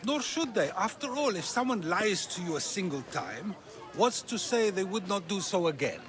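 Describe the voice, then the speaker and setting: A man's voice: sits high, very animated, normal speed, crowd noise. An actor playing a persuasive Athenian philosopher in a video game, probing and earnest as he argues rhetorically. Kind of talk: theatrical video game acting.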